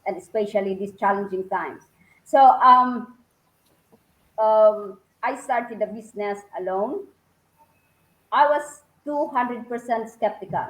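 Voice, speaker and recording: A woman's voice: mid-range voice, echoey room, low-quality recording. A woman from the Philippines, talking eagerly and rambling with many fillers and restarts on a video call. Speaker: panelist guest. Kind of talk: casual online panel talk.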